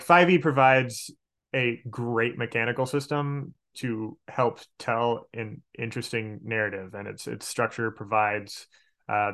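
A man's voice: medium pitch, fairly bright tone. A man talking casually as an interview guest on a podcast, enthusiastic, often repeating words as he finds his way. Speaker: podcast guest, tabletop game master and elementary teacher.

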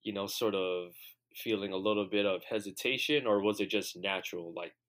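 A man's voice: fairly low pitch, fairly even intonation, fairly quick, a bit bright. A man hosting an interview, asking rambling questions and enthusiastically encouraging his guest. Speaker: host. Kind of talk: casual interview conversation.

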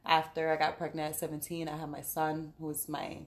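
Woman, late 20s, from the Bronx; casual guest, low and flat delivery. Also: fairly quick. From the Bronx, New York. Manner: relaxed, laughs often, lists with fillers. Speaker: boxer and guest. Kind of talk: casual podcast interview.

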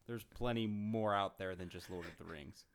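A man's voice: low-pitched, normal speed. A man co-hosting a casual podcast among friends, teasing and joking, laughing often. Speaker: podcast co-host.

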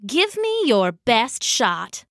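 A woman's voice: a little high, huge pitch swings, very slow, fairly bright tone, dry recording, studio quality, full character voice. Woman playing sly, mocking male trickster god. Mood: flippant, mocking. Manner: mocking, flippant.

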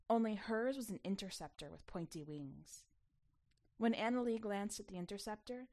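A woman reading a short story as narrator, in an expressive scripted storytelling style. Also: medium pitch. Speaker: podcast host and story narrator.

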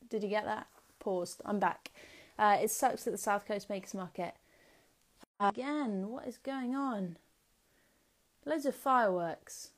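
A woman's voice: mid-range voice, a little mellow. A woman hosting an Instagram Live, chatting casually and rambling with lots of ums. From England. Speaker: host of an Instagram Live interview. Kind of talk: casual livestream chat. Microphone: phone or laptop on a live stream.

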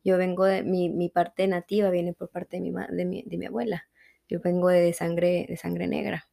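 A woman with a Mexican accent, speaking on the low side of her range. As an interview guest she tells her story with reflection and animation, mixing personal memories with her views. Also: little pitch movement, normal speed, creaky, warm tone. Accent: Mexican Spanish. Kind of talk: conversational interview.

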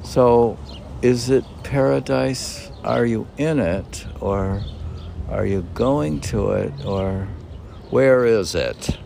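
A man reading his own poems with casual, rambling commentary, halting and musing as he puts questions to himself. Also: medium pitch, gravelly, dark tone. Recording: noisy outdoor recording with birds. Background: birdsong, ambient noise.

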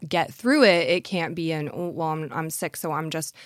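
Chatty woman singer, low voice, on the mend. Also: moderate pace, no room sound, clean studio sound. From Washington DC area. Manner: rambling, with many fillers and frequent laughs. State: recovering from a cold. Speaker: singer in an acoustic duo, guest. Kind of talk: casual interview chat. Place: studio.

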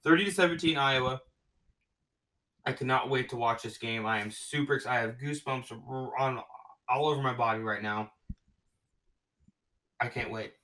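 A man hosting a casual sports podcast, talking excitedly and gushing about his predictions, often restarting his sentences. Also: mid-range voice, normal speed, pauses often, recorded from a distance, poor audio. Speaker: podcast host.

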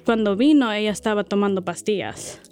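A woman's voice: mid-range voice, brisk, a little mellow, clean tone, faint music behind. A woman in her twenties from Washington, D.C., answering interview questions in a hesitant way, with many fillers and tag questions. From Washington, D.C., United States. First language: Spanish. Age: 20s. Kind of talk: casual interview conversation.

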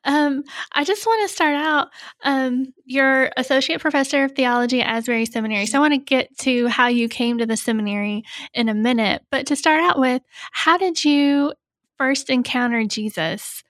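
A woman's voice: sits high, normal speed, fairly bright tone, no room sound, clean studio sound. A woman with an American accent, speaking as the host of an interview podcast. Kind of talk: scripted introduction and interview hosting.

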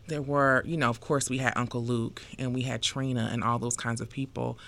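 Fields: Sex: man. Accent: Northern US. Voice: gravelly, bright. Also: mid-range voice, level tone, fairly quick. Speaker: guest. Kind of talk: casual discussion.

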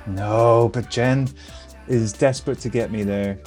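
A man's voice: medium pitch, steady tone, a little slow, darkish tone, soft background music. A Welsh man co-hosting a podcast in casual chat, joking and teasing his co-host. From Wales. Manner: joking, teasing, calls people mate.

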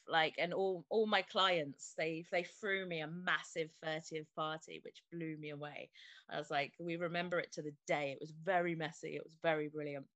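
A woman speaking as an interview guest, telling her own story in a halting, heartfelt way with frequent restarts. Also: fairly low pitch, a bit bright, no room sound. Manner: halting, stops and restarts often, open and heartfelt. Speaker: interview guest, fitness studio owner. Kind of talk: candid personal interview.

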